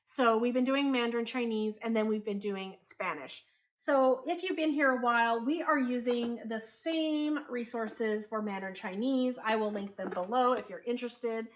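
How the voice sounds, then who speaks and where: A woman in her thirties who grew up in Taiwan, a homeschooling parent talking casually to the camera, chatty and quick to correct herself. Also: a little high. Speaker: homeschooling parent and channel host.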